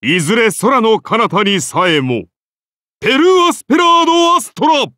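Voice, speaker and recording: A man's voice: extremely high pitch, very animated, moderate pace, sharp tone, no room sound, studio quality, exaggerated voice. A man voicing a godlike founder-king and lancer of Rome in an anime game, grand, solemn and declamatory. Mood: battle fury. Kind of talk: anime game battle voice lines.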